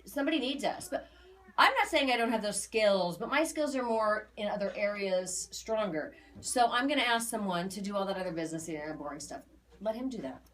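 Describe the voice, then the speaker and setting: An American woman explaining an aura reading to clients in a casual, emphatic, animated way, repeating phrases for emphasis. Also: medium pitch, fairly quick. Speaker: aura reader.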